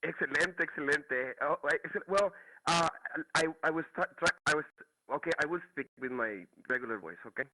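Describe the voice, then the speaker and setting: Caller: man, Mexican Spanish accent, fairly high and bright voice, halting and restarting. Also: animated, low-quality recording. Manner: halting, searching for words, many restarts. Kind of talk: casual call-in chat.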